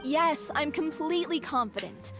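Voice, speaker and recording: A sincere, determined stage actress from a video game, speaks earnestly and politely in a high voice. Music is clearly heard underneath. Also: female voice, animated, moderate pace. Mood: confident, sincere. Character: determined, sincere actress devoted to her troupe.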